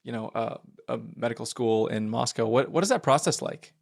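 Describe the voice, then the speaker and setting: A man's voice: mid-range voice, brisk, vocal fry. A man hosting a podcast interview, asking friendly, curious questions with some fillers. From Philadelphia area. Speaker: podcast host. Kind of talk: casual interview conversation.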